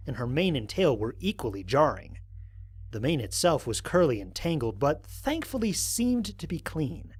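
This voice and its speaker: A man playing an observant, analytical space explorer, narrating formal mission logs in a measured, precise way for an audio drama. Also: mid-range voice, slightly rough. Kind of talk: scripted first-person audio drama narration.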